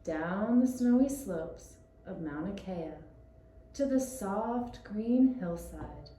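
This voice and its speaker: Woman storyteller for small children. Soft mellow tone, unhurried delivery, very roomy recording. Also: medium pitch, expressive. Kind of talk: scripted storytelling for young children. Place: echoey room, puppet show performance. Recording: roomy echoing sound with faint hiss.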